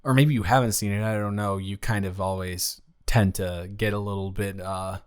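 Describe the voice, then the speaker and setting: A man's voice: lowish voice, fairly even intonation, normal speed, clean tone, close to an everyday voice. A man playing a playful, teasing young best friend in an improvised roleplay audio, speaking casually with false starts and repeats. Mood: hesitant, subdued. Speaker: audio roleplay creator and performer.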